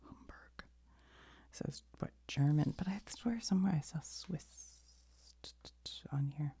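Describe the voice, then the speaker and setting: A middle-aged woman telling a rambling story in a soft, unvoiced whisper, with little asides as she goes. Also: low voice, lively intonation, a little slow, slightly rough. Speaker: video creator.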